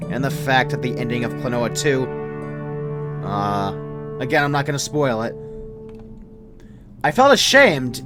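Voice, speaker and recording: A young man reading a scripted first-person story as a creepypasta narrator. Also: medium pitch. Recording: music behind the voice. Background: music.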